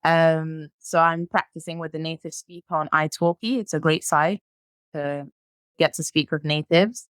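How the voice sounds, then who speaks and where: A woman's voice: low-pitched, fairly even intonation, normal speed, smooth, no room sound. A nineteen-year-old woman, a native French speaker from France, chatting as a podcast guest, laughing often and using lots of ums. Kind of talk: casual interview chat.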